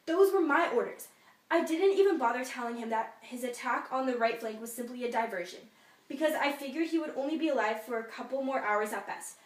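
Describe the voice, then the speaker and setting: A woman's voice: fairly high pitch, natural voice. Echoey, pompous Civil War general acted by a woman. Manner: pompous, formal oratory. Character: George McClellan, boastful, bitter dismissed Civil War general, man. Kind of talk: memorized history class soliloquy. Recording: echoey room, distant microphone.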